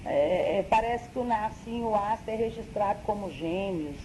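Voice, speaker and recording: A woman's voice: medium pitch, moderate pace, mellow, a little hiss or hum, poor audio. A middle-aged woman recalling her family history in an interview, explaining things carefully and hedging as she reasons aloud. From Brazil. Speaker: interviewee, daughter.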